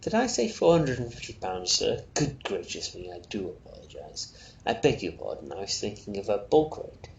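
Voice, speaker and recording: Man voicing a fussily polite, creaky salesman. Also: lowish voice, big pitch swings, normal speed, highs cut off. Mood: apologetic. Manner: polite, formal, fussily apologetic. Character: obliging, deferential salesman of discreet killings. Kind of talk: storybook character voice. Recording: roomy echo, faint noise.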